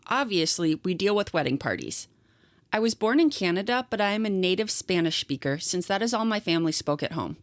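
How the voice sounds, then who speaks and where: A woman co-hosts a casual podcast, reading online stories aloud and chatting agreeably between them. Her voice sits on the low side but moves a lot in pitch. The sound is dry and close, with no room echo. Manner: chatty, agreeable, reads stories in a steady storytelling voice. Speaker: podcast co-host.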